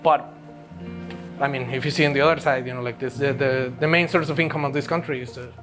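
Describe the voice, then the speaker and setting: A man's voice: medium pitch, steady tone, fast talker, over music. A man who farms coffee, talking casually and filling his speech with "you know" and "like" in a documentary interview. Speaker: coffee farmer.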